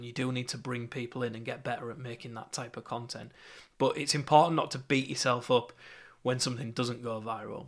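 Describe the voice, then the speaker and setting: A man's voice: mid-range voice, a bit bright. A young man with a Yorkshire accent, teaching an online marketing course in a conversational, upbeat way with fillers and restarts. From England.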